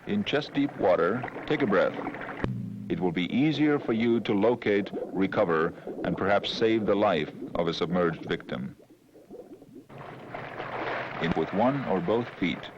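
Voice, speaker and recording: A man's voice: deep voice, animated, a little slow, long pauses, noisy background. A man reading scripted instructional narration for a training film. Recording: dull old training film soundtrack, music behind.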